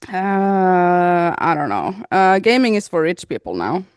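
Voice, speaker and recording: A woman's voice: fairly low pitch, very animated, a little slow, clear, resonant tone, dry recording, clean studio sound. A woman from Norway co-hosting a casual gaming podcast, chatting in an informal, emphatic way. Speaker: podcast co-host, gaming YouTuber.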